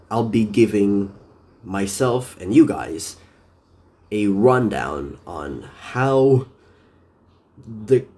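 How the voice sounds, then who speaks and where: Filipino man, live streamer, deep mellow voice, lively pitch, rambling with fillers and self-corrections. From Philippines. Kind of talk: casual live-stream commentary.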